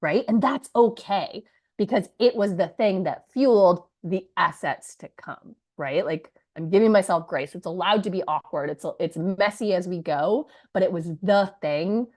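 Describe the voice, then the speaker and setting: A woman in her thirties, hoarse from a cold, telling an audience the story of her launch in a chatty, energetic way with many asides. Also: on the low side, moderate pace. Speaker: business coach presenting her launch story. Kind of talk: live presentation to an audience.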